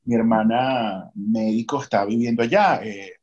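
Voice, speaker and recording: A man with a Venezuelan accent hosting a casual podcast, talking in an animated way, quick in places and slow in others, with fillers. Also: fairly low pitch, highs cut off. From Maracay, Venezuela. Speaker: podcast host; baseball stadium announcer.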